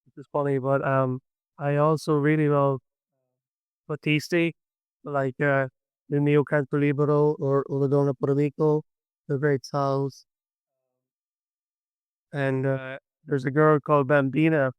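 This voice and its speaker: A man's voice: fairly high pitch, flat delivery, unhurried, pauses often, smooth, dry recording. An Irish man, a young adult folk singer, chatting enthusiastically in an interview and telling stories. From Ireland. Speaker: folk singer-songwriter guest. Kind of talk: casual interview chat.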